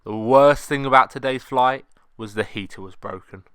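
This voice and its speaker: A young British man narrates as a student pilot explaining his work. His voice is crisp and clean at an ordinary pitch, recorded dry with very little room sound. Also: normal speed, a bit bright, no room sound.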